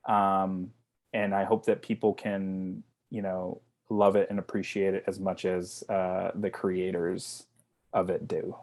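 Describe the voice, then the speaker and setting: A man's voice: sits low, level tone, measured pace, darkish tone. A man chatting casually and jokingly on a video call as a writer-director guest. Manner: informal, joking. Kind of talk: casual chat.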